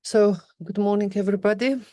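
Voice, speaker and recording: A woman's voice: mid-range voice, fairly even intonation, brisk, mellow, dry recording, studio quality. A woman interpreting a formal speech, with frequent fillers and self-corrections.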